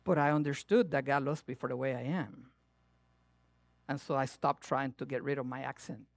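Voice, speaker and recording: A male pastor with a Latin American Spanish accent, preaching a sermon in a playful, animated way that swings between loud emphasis and hushed asides. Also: mid-range voice, moderate pace, vocal fry, no room sound.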